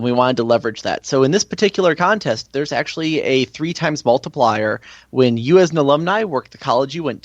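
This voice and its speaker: A man's voice: mid-range voice, no room sound. Man in a dull, lo-fi recording. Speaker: guest, amateur radio contest organizer. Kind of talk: casual interview talk.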